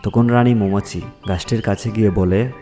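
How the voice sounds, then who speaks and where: Low, mellow male storyteller. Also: steady tone, normal speed, faint music behind. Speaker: narrator. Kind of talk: scripted children's fairy tale narration.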